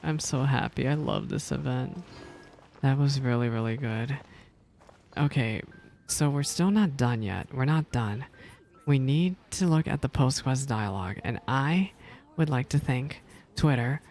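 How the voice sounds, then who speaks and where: Creaky-voiced man reacting to a game. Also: mid-range voice, measured pace, darkish tone, slight background noise. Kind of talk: casual live reaction commentary.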